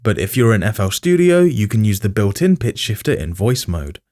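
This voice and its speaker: A man's voice: lowish voice, very animated, fairly quick, a little mellow. A man narrating a scripted music production tutorial as its host.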